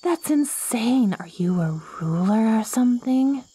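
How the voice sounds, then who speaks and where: A woman's voice: a little high, lively intonation, a little slow, mellow, breathy edge. A woman playing an ancient, lonely plant girl in an ASMR roleplay, clingy and curious, with quirky, wordy, theatrical phrasing. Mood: excited, eager. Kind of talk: ASMR roleplay, acted monologue to the listener.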